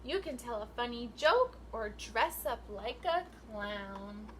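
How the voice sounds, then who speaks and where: Lively high-voiced woman reading to young children. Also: slow, a little hiss or hum. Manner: instructional, asks questions and prompts children to repeat. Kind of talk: picture book read-aloud for young children.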